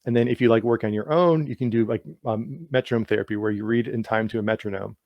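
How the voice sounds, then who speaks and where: A man's voice: on the low side, fast talker, no echo, lo-fi sound. Stuttering man, dry close sound. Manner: stutters with sound and word repetitions; heavy use of "like" as filler. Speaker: guest author. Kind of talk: casual interview answers.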